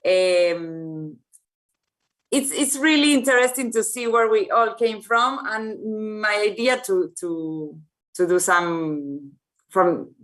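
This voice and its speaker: Brief: woman, moderating a panel, halting and filler-heavy. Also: medium pitch, fairly bright tone. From Argentina. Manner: hesitant, searching for words, many fillers.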